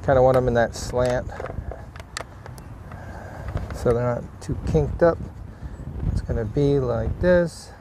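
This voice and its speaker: Guy doing a diy how-to while he works, medium pitch voice, casual thinking out loud, bit of background noise. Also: slow, pauses often. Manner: unscripted, thinking aloud in short plain remarks. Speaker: DIY vlogger demonstrating a vehicle repair. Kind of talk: casual hands-on how-to narration while working.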